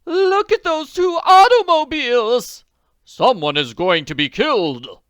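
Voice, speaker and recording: A reader voicing an excitable woman in a storybook who keeps crying out in alarm. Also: mid-range voice, normal speed, clear, resonant tone, dry recording, clean studio sound, pitched above the performer's own voice, lightly acted. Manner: exclamatory, cries out. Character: excitable woman, the worried lady's friend.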